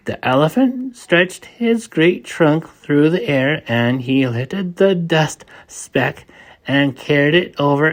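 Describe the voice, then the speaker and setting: A man reading a children's story aloud as expressive audiobook narration. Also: on the high side. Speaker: storybook reader.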